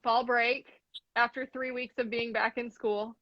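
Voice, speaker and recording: A woman hosting an interview over a video call, friendly and unhurried as she asks questions. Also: on the high side, level tone, no room sound. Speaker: interview host. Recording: dry, dull video-call audio.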